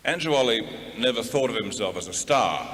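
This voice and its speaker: A man giving a tribute speech in a halting manner with frequent pauses. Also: mid-range voice, animated. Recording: echoey hall, background noise.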